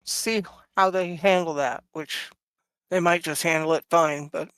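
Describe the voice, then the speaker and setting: A woman's voice: sits low, fairly even intonation, measured pace, clean tone, no echo. A woman who plays at a tabletop game and thinks aloud, hesitating as she weighs her moves. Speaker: player.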